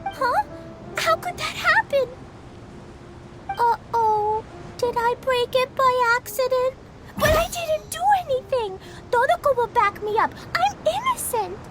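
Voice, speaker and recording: An excitable little girl in a video game, with a very high, childlike voice. She is earnest and bubbly, speaking at an unhurried pace with lively pitch. Music plays clearly behind her. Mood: anxious, defensive. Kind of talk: video game cutscene acting. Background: background music.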